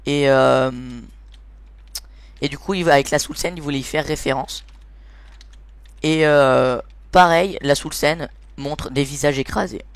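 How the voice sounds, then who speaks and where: A man's voice: on the high side, fairly even intonation, measured pace, gravelly, long pauses, clean tone, no echo. A man giving an informal explainer commentary over gameplay as the host of a gaming series, hesitant and often searching for his words.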